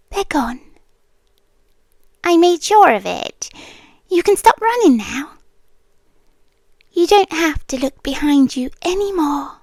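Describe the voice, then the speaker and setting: ASMR roleplay: ancient monster-girl guardian played by a woman, British, high and unhurried, possessive, soothing, whispery. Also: no room sound, heavily acted. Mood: soothing, hushed. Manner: slow, hushed, intimate, soothing, often dropping to whispers. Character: centuries-old female gargoyle-like guardian monster, possessive, obsessively devoted. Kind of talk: yandere girlfriend ASMR roleplay. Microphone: close microphone, dry.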